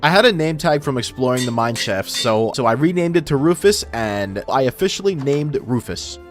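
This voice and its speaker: A man's voice: mid-range voice, expressive, moderate pace. A man narrating gameplay in a casual, informal way with wry asides, his voice run through a voice filter. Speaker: gaming video host. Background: background music.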